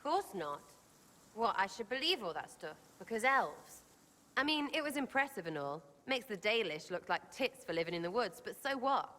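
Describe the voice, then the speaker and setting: A woman's voice: medium pitch, sing-song, normal speed, sharp tone, big-room sound. A woman playing a brash, scornful young elf in a video game, with a British accent and blunt, mocking, slangy delivery. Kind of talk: video game character dialogue.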